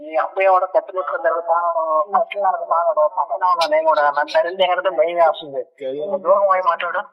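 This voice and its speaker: A man's voice: high voice, rapid-fire. A man chatting casually over the phone in a blunt, scolding way. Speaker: prank call recipient, addressed as elder brother. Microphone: heard over a phone call. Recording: dull lo-fi phone call audio.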